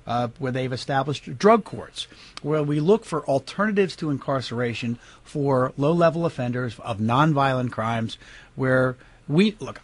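A man with an American accent and a slightly rough voice gives unscripted answers in an interview. He speaks in a halting way, doubling back on words to press his point. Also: mid-range voice. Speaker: interview guest, county prosecutor.

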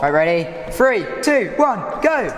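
A man's voice: high-pitched, very animated, takes it slowly, a little hiss or hum. A young man, casually presenting a vlog and calling out a race. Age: teens to young adult. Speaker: vlog host. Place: large empty abandoned indoor hall. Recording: echoey empty hall, loud music behind.

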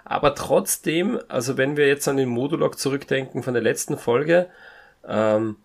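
A man's voice: mid-range voice, moderate pace, vocal fry. A man in a casual, humorous review chat, thinking aloud hesitantly as a podcast panelist.

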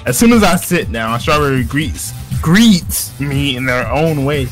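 A man's voice: fairly high pitch, animated, a little slow, soft background music. A young man in casual, sweary gameplay commentary, reading a visual novel aloud with friends. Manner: sweary, slangy.

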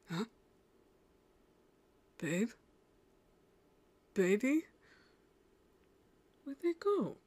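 Woman voicing a breathy, gentle anime boy. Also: lowish voice, heavily acted. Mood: soft concern. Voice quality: breathy, often whispering. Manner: soothing, tender, slow. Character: gentle, caring teenage boy comforting a frightened partner. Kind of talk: anime audio roleplay.

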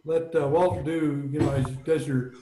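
An older man on a video call, casually suggesting songs with a few halting restarts. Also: fairly high pitch, little pitch movement, warm tone, reverberant, slight background noise, poor audio. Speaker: group member. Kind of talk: casual chat.

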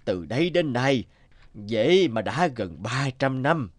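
An actor playing an old village man in an animated folktale, solemn and deliberate as he recalls family lore. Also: mid-range voice, a little hiss or hum. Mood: solemn, reflective.

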